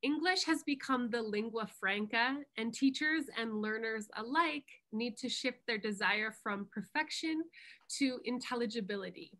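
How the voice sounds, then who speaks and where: A woman's voice: a little high, a bit bright. A woman with a Canadian accent, a pronunciation coach giving an encouraging online workshop lesson over a video call. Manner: encouraging, unhurried teacher.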